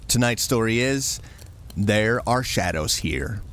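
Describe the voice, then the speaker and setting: A man hosting with a scripted, campfire-style introduction to a horror story, told in a measured, mysterious way. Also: fairly low pitch, lively intonation, fairly bright tone. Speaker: host.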